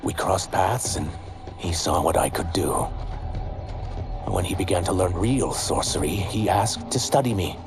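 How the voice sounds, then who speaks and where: A remorseful reptilian outcast, speaking low and earnestly in a subdued tone. Music plays behind him in this game cutscene. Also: male voice, a little slow.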